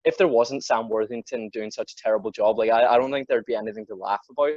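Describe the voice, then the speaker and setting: A man's voice: fairly low pitch, fast, darkish tone, dry recording, dull audio. A teenage male podcast co-host chatting casually about films in a blunt, sweary way, often repeating words as he goes. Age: teens. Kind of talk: casual film discussion.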